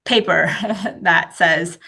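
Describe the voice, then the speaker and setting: A woman's voice: lowish voice, extremely slow, vocal fry, pushed and bright, slightly rough. A woman whose first language is Chinese, explaining research in a webinar presentation with many fillers and restarts, speaking over a video call.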